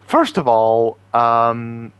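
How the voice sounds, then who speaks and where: A man's voice: mid-range voice, lively intonation, drawn-out words, fairly bright tone, clear, resonant tone, dry recording. A man hosting as an amateur voice impressionist, chatting casually to viewers in a rambling, self-deprecating way. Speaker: amateur voice impressionist and video host.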